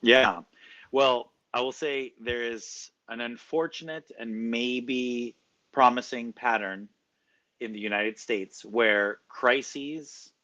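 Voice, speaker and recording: A man answering interview questions as a professor, explaining at length in a measured way with frequent fillers. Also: mid-range voice, fairly bright tone, highs cut off.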